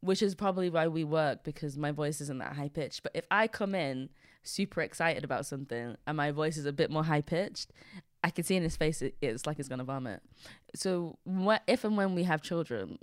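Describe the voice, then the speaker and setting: Low-voiced woman, chatty podcast host. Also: fairly quick, no room sound, clean studio sound. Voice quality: low voice with bass in it. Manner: chatty, jokey, rambling. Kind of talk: casual chat.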